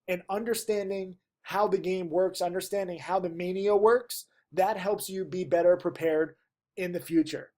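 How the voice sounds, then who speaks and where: A man's voice: high-pitched, steady tone, moderate pace, warm tone. A man teaching his students, stuffy and losing his voice, pleading with them to study. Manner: emphatic, pleading. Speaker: trading teacher. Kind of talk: direct-to-camera lesson.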